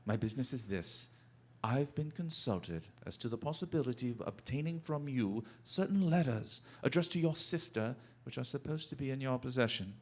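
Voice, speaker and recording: A man acts out a shrewd gentleman detective for a radio play. His speech is formal, precise and coolly polite, swinging through a wide, animated pitch range with an air of total command. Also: normal speed, natural voice. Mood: smoothly courteous. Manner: formal, precise, theatrical diction. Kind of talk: radio drama acting.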